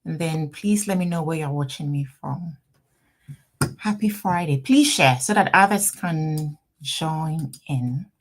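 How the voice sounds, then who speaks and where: A woman from Ghana with a Ghanaian accent, an attorney hosting a live Q&A stream from her office, greeting viewers warmly and repeating herself as they join. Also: sits low, takes it slowly. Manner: upbeat, repetitive, greeting viewers by name and place. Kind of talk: casual livestream chat.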